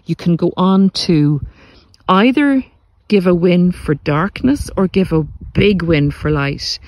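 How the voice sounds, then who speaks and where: Guy with a highish voice talking really slowly, earnest spiritual pep talk, repeats phrases to drive it home. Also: expressive, a little mellow, no echo. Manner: earnest, deliberate, repetitive emphatic phrasing. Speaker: podcast host, narcissistic abuse recovery coach. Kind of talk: motivational spiritual talk to listeners.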